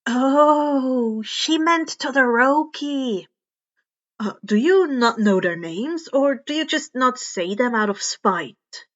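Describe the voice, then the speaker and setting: Woman doing an awkward nervous teen boy in an audiobook, high voice, stammery but earnest, slightly dull audio. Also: expressive, slow, a bit bright, heavily acted. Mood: puzzled, half joking. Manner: hesitant, stammering. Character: awkward, anxious, earnest teenage boy student.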